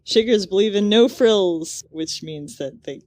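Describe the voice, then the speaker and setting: A woman's voice: medium pitch, expressive, unhurried, a little mellow, no echo, clean studio sound. A woman co-hosting a podcast, moving between scripted recap and casual banter in a wry, sardonic way. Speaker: podcast co-host.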